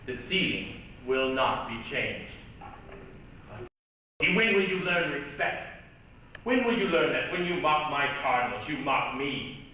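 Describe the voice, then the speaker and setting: A man's voice: fairly high pitch, expressive, takes it slowly, long pauses, pushed and bright, distant mic, heavily acted. A man acting an angry, imperious pope on stage, declamatory and commanding. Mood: indignant, commanding. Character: angry, imperious pope rebuking a defiant painter. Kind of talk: stage reader's theatre acting. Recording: echoey hall from afar, hissy 1980s recording.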